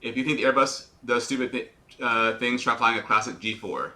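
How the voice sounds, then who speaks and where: Man with a sharp, forceful radio-style voice. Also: medium pitch, a little fast. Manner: talks in a put-on radio-announcer voice; explains checklists step by step and answers viewer questions. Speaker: airline pilot. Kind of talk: live-streamed flight sim commentary.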